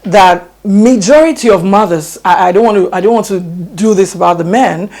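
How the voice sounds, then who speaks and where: A woman's voice: mid-range voice, normal speed, a little mellow. A woman with a Nigerian accent, answering interview questions in an earnest, emphatic way and often repeating her phrases. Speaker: education guest.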